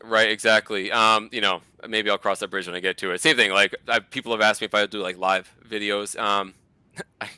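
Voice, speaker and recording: Boston-accented middle-aged guest, lower voice, dry close sound, casual rambling chatter. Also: male voice, fairly even intonation, a little fast, pushed and bright, clear, resonant tone, no room sound. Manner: mumbly, self-deprecating, rambling. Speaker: guest, comic collector YouTuber. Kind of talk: casual interview chat.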